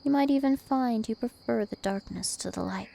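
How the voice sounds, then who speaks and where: A woman's voice: a little high, moderate pace, gravelly, mellow. A woman voicing a dominant, taunting, ancient vampire princess in an ASMR roleplay, with haughty, teasing menace. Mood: smug, possessive. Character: two-thousand-year-old vampire princess, female, dominant, taunting, imperious.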